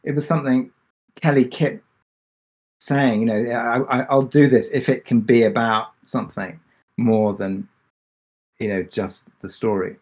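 A man's voice: mid-range voice, steady tone, a little mellow. A man with a mid-range voice talks as a podcast creator on a panel. He is reflective and thoughtful, often restarting a phrase or repeating a word before he carries on. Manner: thoughtful, halting, repeats words mid-sentence.

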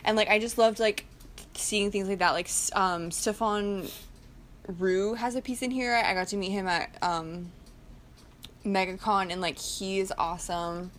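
A woman's voice: mid-range voice, steady tone, a little slow, fairly bright tone, a little hiss or hum. A tipsy woman co-hosting a casual podcast chat, giggly and rambling, with "like" peppered through her sentences. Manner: giggly, rambling, heavy use of "like". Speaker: podcast co-host.

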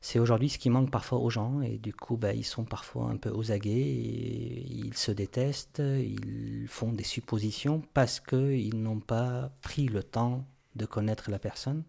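A man in his forties from Lebanon, a podcast guest speaking calmly and thoughtfully in a large room of his home, pausing on fillers. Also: medium pitch, vocal fry. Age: 40s. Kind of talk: reflective conversation.

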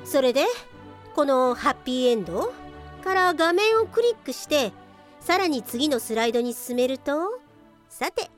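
A woman acting as a sharp-tongued, know-it-all office software cop in a comedic radio drama, blunt and rough-spoken. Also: sits high, big pitch swings, moderate pace. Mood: lecturing. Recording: voice over clear background music.

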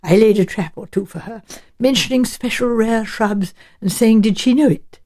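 Shrewd, genteel old English lady detective for audiobook woman performing; soft-edged tone, thoughtful and chatty. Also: a little high, moderate pace, close to an everyday voice. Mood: chatty, puzzled. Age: elderly. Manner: musing, hedging, politely chatty. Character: shrewd, genteel elderly village spinster turned amateur sleuth.

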